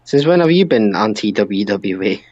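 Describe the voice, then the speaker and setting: A man's voice: on the low side, animated, measured pace, a little mellow, lo-fi sound. A British man chatting casually and with strong opinions as co-host of a wrestling podcast. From United Kingdom.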